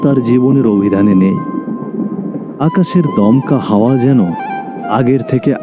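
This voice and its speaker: A man's voice: medium pitch, lively intonation, a little slow, over loud music. A man narrating a scripted cartoon story in a dramatic storytelling style.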